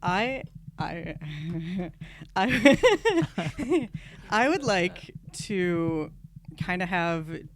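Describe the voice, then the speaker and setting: A tabletop game player chatting casually, piecing sentences together in a halting way. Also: mid-range voice, big pitch swings.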